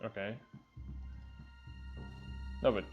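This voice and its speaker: A man's voice: very slow, muffled recording, faint music behind. A man with a Dutch accent, a non-native English speaker, casually commenting while playing a game, chatty with many fillers. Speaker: let's play host.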